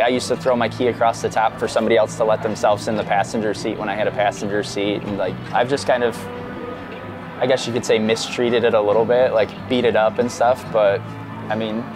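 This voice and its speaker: Laid-back man driver, offhand talk. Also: medium pitch. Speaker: race car driver. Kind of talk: casual interview answers. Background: loud background music.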